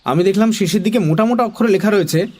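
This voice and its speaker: A man playing a wide-eyed, curious young storyteller, narrating a children's nonsense story in an animated way. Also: high voice, racing.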